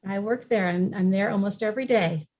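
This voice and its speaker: A woman's voice: medium pitch, fairly quick, a little mellow. Polite woman with a mid-range voice. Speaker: library staff member and panel guest. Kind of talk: informative panel talk.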